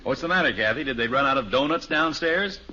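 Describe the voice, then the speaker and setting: An actor playing a wry suburban father in an old-time radio family sitcom, excitable and easily exasperated. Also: mid-range voice, fast talker. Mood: wry, easygoing. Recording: muffled old radio recording, faint hiss.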